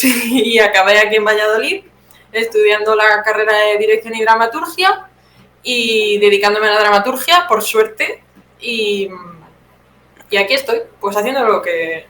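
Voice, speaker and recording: A woman's voice: medium pitch, normal speed, fairly bright tone, roomy sound, slight background noise. A woman in her thirties with an accent from Spain, talking casually and reflectively as an interview guest, often adding tag questions. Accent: Spanish, from Spain. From Teruel, Spain. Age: 30s.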